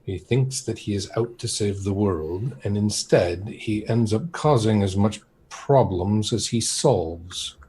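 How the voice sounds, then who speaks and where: A man's voice: lowish voice, slow, few pauses, dark tone, slight background noise. A man voicing an irritable, proud older nobleman who speaks in a curt, scornful way.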